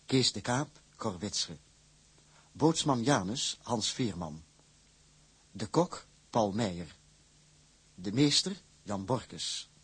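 A male announcer delivers scripted radio credits. His voice is strongly husky and his pace is slow and measured. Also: medium pitch. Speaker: announcer reading cast credits.

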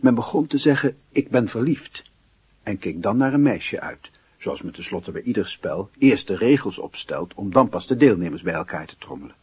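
An actor as a reflective narrator, reading literary narration for a Dutch radio play in a husky voice. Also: male voice, on the low side, lively intonation, normal speed, darkish tone, no room sound.

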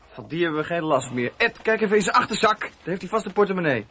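A man's voice: high-pitched, expressive, fast talker, pushed and bright, a little hiss or hum. A man playing a bossy, sly young street thug in a radio drama, coaxing and commanding. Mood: cold, brisk. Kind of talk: Dutch radio drama acting.

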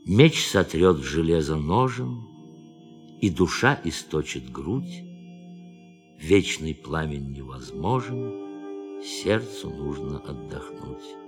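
An actor in a radio drama playing a brooding, bitter astronaut with a poetic soul, speaking in a measured, declamatory way. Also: male voice, extremely low pitch, sing-song, full character voice. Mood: poetic, solemn. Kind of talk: theatrical radio drama acting. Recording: studio radio play with music behind.

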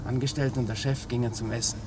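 An actor playing a resigned small-time burglar confessing to police in a terse, matter-of-fact way, in a German crime radio drama. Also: male voice, mid-range voice, fairly even intonation, fast talker, lightly acted. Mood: matter-of-fact confession. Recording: noisy old radio drama recording.

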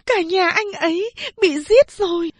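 A woman's voice: extremely high pitch, a little slow, dry recording, studio quality, voice pushed up. A woman voicing a desperate young woman who pleads with her mother while crying. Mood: pleading. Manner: sobbing, begging.

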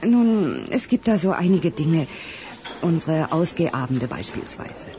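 A man's voice: on the high side, very animated, unhurried, warm tone, a little hiss or hum. An actor playing a placating father in a radio play, talking in a coaxing, reasonable manner. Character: placating, persuasive middle-class father. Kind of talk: German radio play acting.

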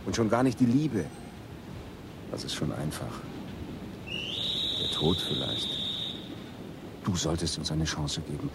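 An actor playing a charming, persistent middle-aged lover in a radio drama, flirtatious and theatrical in manner. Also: male voice, rumbling low, sing-song, measured pace, pauses often, very noisy, full character voice. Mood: earnest pleading. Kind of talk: naturalistic radio drama acting.

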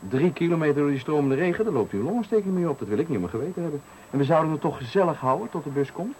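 An actor in a Dutch radio play playing a taunting, menacing stranger who speaks with deliberate, needling politeness in a Netherlands accent. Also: male voice, a little high, lively intonation, a little mellow, lightly acted. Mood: mock-polite menace. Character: menacing, taunting male stranger cornering a man at night. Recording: faintly noisy 1980 radio recording.

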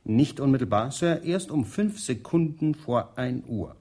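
An actor playing a stiff, ultra-polite butler in a radio play, with a breathy voice and precise wording. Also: male voice, mid-range voice, animated, measured pace, a little mellow. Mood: formal. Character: stiff, formal male butler.

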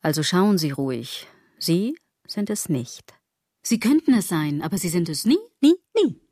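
Husky, low-voiced sardonic woman. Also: very animated, a little slow, warm tone, dry recording, studio quality. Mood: mocking, emphatic. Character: sardonic, restless woman lecturing on fashion and appearance. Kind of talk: radio drama monologue.

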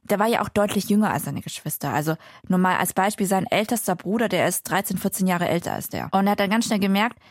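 A woman hosting and narrating a radio feature, explaining the story in a calm tone. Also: mid-range voice, fast, hardly any pauses, no room sound. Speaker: host and narrator. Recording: dry close sound with faint music.

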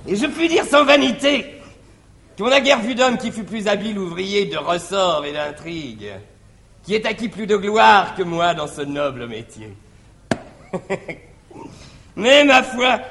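A man's voice: high voice, lively intonation, unhurried, pauses often, fairly bright tone, exaggerated voice. A man playing a wily, boastful valet in a classic French stage farce, theatrical and mock-modest, on an old theatre recording. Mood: boastful, mock-aggrieved. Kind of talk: classical stage comedy acting. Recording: noisy 1961 theatre recording with clicks.

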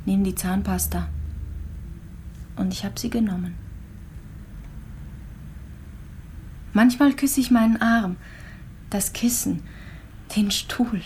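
Radio drama: woman playing lonely patient, intimate confiding delivery, medium pitch, slight background noise. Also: unhurried, pauses often, a little mellow, natural voice. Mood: hushed longing. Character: lonely, fragile female patient confiding her longing. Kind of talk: intimate radio play acting.

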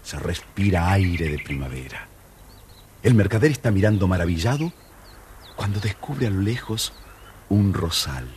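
Low-voiced man narrating a storybook. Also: a little slow, long pauses, noisy background. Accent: Argentine Spanish. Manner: calm, even storytelling.

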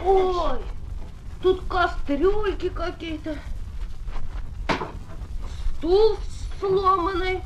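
High-pitched grumbling little boy. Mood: puzzled. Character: grumbling little boy, a playmate who soon gives up. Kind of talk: 1970s children's radio play acting. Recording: noisy old 1970s flexi-disc record.

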